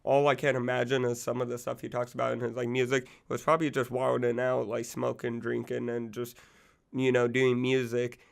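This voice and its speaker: Young man in his twenties, a little worn-down voice from being sick, loose rambling talk, monotone delivery, smooth low rasp. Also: a little fast. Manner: rambling, loose, full of fillers and profanity. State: recovering from illness, losing his voice. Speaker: music channel host. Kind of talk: casual music commentary.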